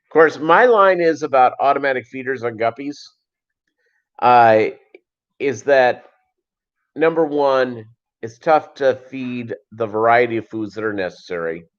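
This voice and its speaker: Deep-voiced man rambling on a lo-fi livestream. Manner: rambling, conversational, halting. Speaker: livestream host. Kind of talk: casual livestream chat answering viewers. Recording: dull, lo-fi livestream audio.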